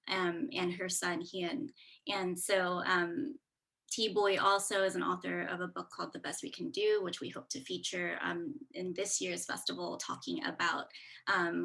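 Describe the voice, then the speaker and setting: A woman's voice: fairly low pitch, pushed and bright. A woman hosting a festival event, introducing clips in a friendly way with many fillers. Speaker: festival host.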